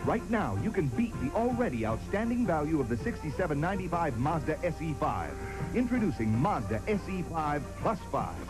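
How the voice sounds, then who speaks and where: Lively ad announcer over music. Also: male voice, on the high side, fairly quick, rough recording. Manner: salesmanlike. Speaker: commercial announcer. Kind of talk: 1980s radio ad read.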